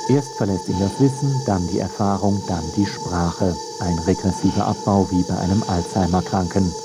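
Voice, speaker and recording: A man reading scripted radio documentary narration in a measured, deliberate way, close to a studio mic. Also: deep voice, over loud music. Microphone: close studio microphone.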